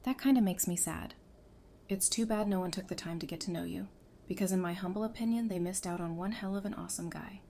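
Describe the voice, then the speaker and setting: A woman playing a playful, sassy, warm-hearted doctor in an audio drama, with an expressive, teasing delivery. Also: medium pitch, fast, a little hiss or hum, lightly acted. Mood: warm, curious. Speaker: voice actor in a fan audio drama. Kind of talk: conversational audio drama acting.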